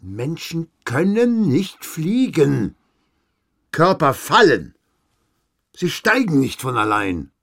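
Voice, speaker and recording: An actor in a German radio play playing a sceptical, irritable police interrogator, curt and sardonic. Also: male voice, fairly high pitch, very animated, slow, vocal fry, long pauses, a bit bright, exaggerated voice. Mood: incredulous.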